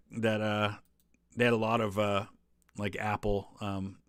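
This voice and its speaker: A man's voice: medium pitch, fairly bright tone, dry recording, clean studio sound. A man hosting a live stream, chatting loosely through travel photos and viewer questions, with many ums and restarts. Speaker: history channel host answering live chat.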